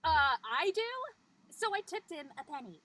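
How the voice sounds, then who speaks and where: A woman's voice: high-pitched, animated, moderate pace, bright, forceful tone. A woman playing a quirky, dramatic teenage friend in a drama read. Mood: indignant.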